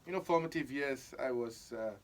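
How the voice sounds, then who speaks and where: A man's voice: medium pitch, normal speed. Chatty young Singaporean man, laughing easily. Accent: Singaporean English. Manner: chatty, laughs often, repeats himself. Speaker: guest, actor. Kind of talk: casual interview chat.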